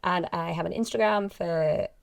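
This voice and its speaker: A woman actress and coach speaking earnestly and reflectively in a casual podcast chat, in a measured way. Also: fairly low pitch, creaky, clear, resonant tone, no echo.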